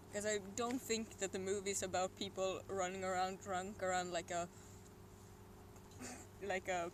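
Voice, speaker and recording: A young adult from Sweden with a Swedish accent, chatting casually and rambling on a solo stream at home, with muttered and whispered asides. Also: mid-range voice. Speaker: livestreamer. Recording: noisy room ambience.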